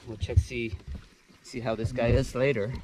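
A man chatting casually and excitedly as he fishes from a boat, exclamatory and slangy. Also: medium pitch, normal speed. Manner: exclamatory, slangy, laughs often. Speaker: angler hosting a fishing video. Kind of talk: excited casual chat while fishing. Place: outdoors on a small boat on a lake. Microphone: action camera on the boat, a few meters away. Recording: muffled, distant, loud wind and boat noise.

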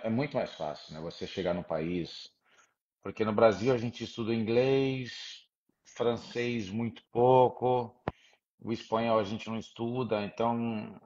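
A middle-aged man with a lowish voice chats easily over a video call, leaning on little filler tags as he goes. The lo-fi audio sounds dull and muffled. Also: breathy edge. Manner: relaxed, with many filler tags. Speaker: guest, former footballer. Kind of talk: casual video-call chat.

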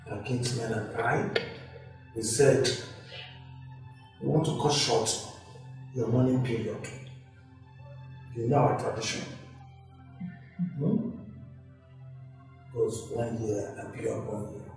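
Slow, echoey Nigerian family elder. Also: male voice, mid-range voice, steady tone, a little mellow. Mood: earnest, insistent. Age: middle-aged. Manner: deliberate, halting, punctuated with questioning hums. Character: solemn Igbo family elder, earnest and insistent. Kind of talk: film drama acting. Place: living room. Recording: echoey room, distant mic, faint music.

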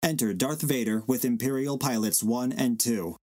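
Guy narrating short stage directions from a script, average pitch. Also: normal speed, a little mellow.

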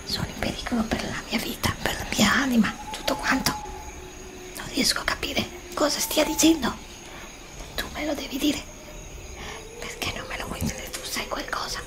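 A middle-aged woman playing a frightened young woman lost in a dark forest in an ASMR roleplay, pressing her companion with anxious, pleading whispers. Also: sits high, expressive, normal speed, voice pushed up. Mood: fearful. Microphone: desk condenser mic close up.

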